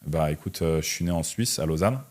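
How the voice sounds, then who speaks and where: A young man in his twenties with a very low voice, talking as an interview guest. He is from Russia and explains himself informally, with slang and conversational tags. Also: fairly quick, a little mellow. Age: 20s. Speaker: interview guest, entrepreneur and online content creator. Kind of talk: casual conversational interview answers.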